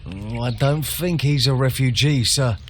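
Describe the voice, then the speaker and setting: A man's voice: medium pitch, measured pace, slight background noise, natural voice. A man playing a chatty, deferential junior camp guard with a folksy manner in a fantasy video game. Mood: gossipy, deferential.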